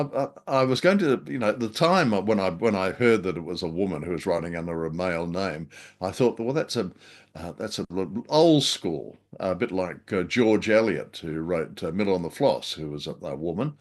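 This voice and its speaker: A man co-hosting a podcast, chatting casually about an old radio drama, hesitant with many ums and a dry, self-mocking humor. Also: deep voice. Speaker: podcast co-host.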